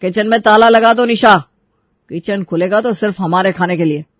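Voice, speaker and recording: A man's voice: sits high, normal speed, a bit bright, dry recording. A man playing an angry, suspicious young husband who scolds and threatens in a Hindi moral-story drama. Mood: stern, threatening.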